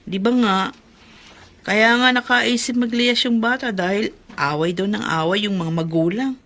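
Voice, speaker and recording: A woman's voice: mid-range voice, steady tone, moderate pace, fairly bright tone, natural voice. A woman playing a gossipy, caring housewife in a radio drama, speaking with a Filipino accent. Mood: gossipy, disapproving. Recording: faint noise behind the voice.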